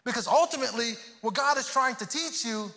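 High, bright-voiced preacher man in a hall. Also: fairly quick. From Houston, Texas. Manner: earnest, repeats words when starting phrases. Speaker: pastor. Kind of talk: sermon. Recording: long hall reverb.

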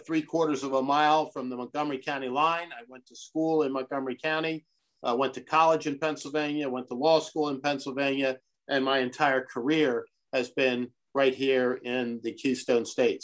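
A middle-aged man from Brazil, a guest on a podcast, answering in an earnest, measured way. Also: mid-range voice, low-quality recording. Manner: earnest, measured, speaks of his convictions. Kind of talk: conversational interview.

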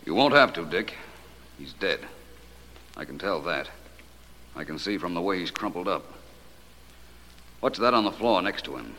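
Smooth courteous radio detective, deep voice, lively pitch, old noisy recording. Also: male voice, normal speed, long pauses. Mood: grave. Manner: courteous, precise, urbane. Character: suave, self-assured male private detective. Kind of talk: 1940s radio detective drama acting.

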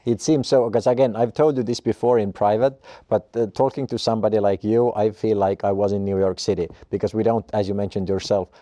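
A man interviewing a guest, asking slow, measured questions. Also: fairly low pitch, steady tone, mellow, dry recording.

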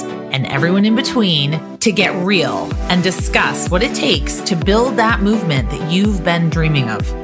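An American woman, middle-aged, hosting a podcast in an upbeat, articulate and encouraging way. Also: fairly low pitch. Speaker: podcast host.

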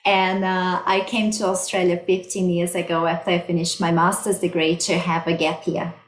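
A woman's voice: on the low side, level tone, normal speed. A middle-aged woman from Brazil with a Brazilian accent, explaining her research plainly as an interview guest. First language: Portuguese. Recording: roomy, echoey sound.

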